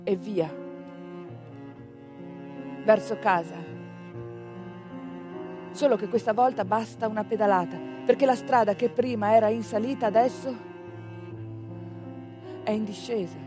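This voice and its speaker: A woman delivering a theatrical monologue in a conversational way, with pointed pauses and rhetorical asides. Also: medium pitch, over music. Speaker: stage actress narrating a monologue.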